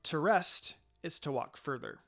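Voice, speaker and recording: A spirited young kunoichi from an anime fan story, acted out by a male reader. She is teasing, quick to snap and affectionate underneath. Her pitch moves around freely, with faint music behind. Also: lively intonation, a bit bright. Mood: weary, teasing. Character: spirited young female ninja, curious, feisty, affectionate.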